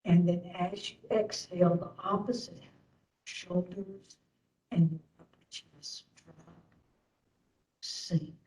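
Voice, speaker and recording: A woman leading a guided meditation as instructor, speaking gently in short phrases with long pauses. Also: fairly low pitch, fairly even intonation, poor audio.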